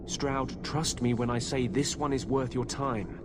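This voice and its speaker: An earnest adventurer played by a man with a mid-pitched voice, speaking in cinematic fantasy game dialogue. There is clear background noise around him. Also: steady tone, measured pace, lightly acted. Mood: urgent, pleading. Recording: noisy background ambience.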